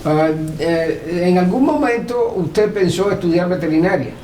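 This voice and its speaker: A woman's voice: fairly low pitch, animated, measured pace, warm tone. A woman interviewing someone attentively, asking formal and polite questions. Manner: formal, polite, frequent backchannels. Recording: echoey room with faint noise.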